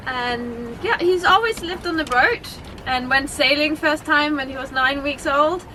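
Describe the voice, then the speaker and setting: A woman's voice: high voice, unhurried, bright, forceful tone, slight background noise, low-quality recording. A young woman from Denmark, co-hosting a sailing vlog in a light, chatty way. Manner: chatty, light, laughs easily. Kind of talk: casual vlog introduction.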